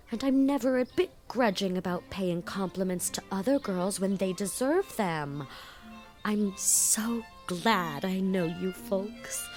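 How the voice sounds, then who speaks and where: Gushing vain young college girl, acted by a woman in an audio drama, chatty and indecisive, a slightly lower voice than most women, soft music faintly underneath. She runs on in eager, emphatic bursts. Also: close to an everyday voice. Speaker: voice actor.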